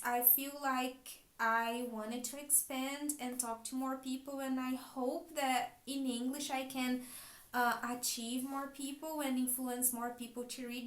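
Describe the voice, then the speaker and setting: High-voiced Brazilian woman chatting about books. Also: steady tone, a little slow, fairly bright tone. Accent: Brazilian Portuguese. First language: Portuguese. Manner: chatty, thinking aloud, friendly. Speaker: book vlogger and private teacher. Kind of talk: casual book haul vlog. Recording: echoey room.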